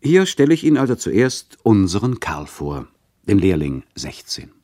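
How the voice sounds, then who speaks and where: An actor playing a wry, deadpan narrator who explains a radio play in a mock-instructive manner. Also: male voice, on the low side, moderate pace, no echo, clean studio sound.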